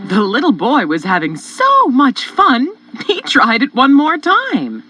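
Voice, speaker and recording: Highly animated children's story narrator. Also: unhurried. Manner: expressive, theatrical. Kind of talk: scripted storybook narration, rhyming in places. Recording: music behind, from cassette tape.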